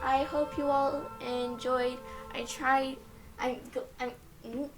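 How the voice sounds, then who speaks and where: Young female narrator, higher voice, expressive fan fiction storytelling with clear music underneath. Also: takes it slowly, poor audio. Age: young adult. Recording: music behind the voice.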